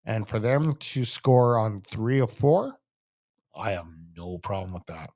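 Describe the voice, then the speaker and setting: A man's voice: on the low side, expressive, unhurried, a little mellow. A Canadian man hosting a sports show, giving casual, emphatic solo hockey commentary and repeating phrases for stress.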